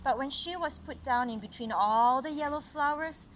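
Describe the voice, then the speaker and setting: Mother, fairly high voice, storytelling to a small child with gentle asides; clearly noisy recording. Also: moderate pace. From Malaysia. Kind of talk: read-aloud storytelling with conversational asides. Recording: noisy recording with background sounds. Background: ambient noise, young child nearby.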